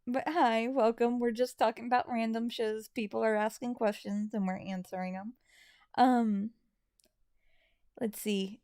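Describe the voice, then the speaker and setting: A woman's voice: a little high, fairly even intonation, measured pace, darkish tone. A woman co-hosting a casual livestream and reading viewer messages in a self-deprecating, hesitant way. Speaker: livestream co-host and hobby voice actor.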